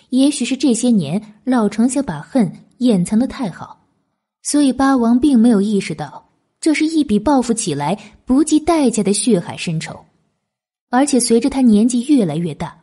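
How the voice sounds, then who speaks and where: A woman narrating a historical novel as an audiobook, in an expressive, literary storytelling manner. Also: on the high side, husky.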